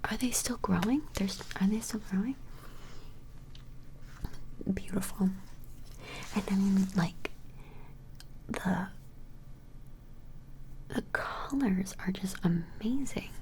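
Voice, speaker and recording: A woman whispering softly and gently in an ASMR personal-attention role play, hesitant and reassuring. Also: mid-range voice, slow pace, long pauses, a little hiss or hum, low-quality recording. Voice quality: soft breathy whisper with fry. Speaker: ASMR performer playing an appraiser taking measurements.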